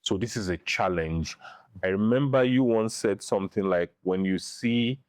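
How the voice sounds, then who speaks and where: A middle-aged man with a Nigerian accent giving opinions in a podcast interview, speaking deliberately and repeating phrases for emphasis. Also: fairly low pitch, a little mellow, clean tone, no room sound, clean studio sound. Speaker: interview guest, film director and writer.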